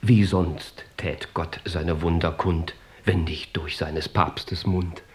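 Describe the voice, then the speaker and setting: A medieval count pleading his case in radio verse. His voice is on the low side and very slow. He sounds earnest, measured and shrewdly persuasive. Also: steady tone, darkish tone, a little hiss or hum. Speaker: actor. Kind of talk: rhymed radio play acting.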